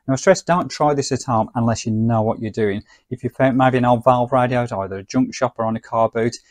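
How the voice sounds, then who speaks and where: A man presents in an informal, chatty way, as if walking someone through a gadget. His voice sits at an ordinary pitch. The sound is dry, with little echo around it. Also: darkish tone. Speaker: presenter of a vintage radio video. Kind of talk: casual spoken demonstration.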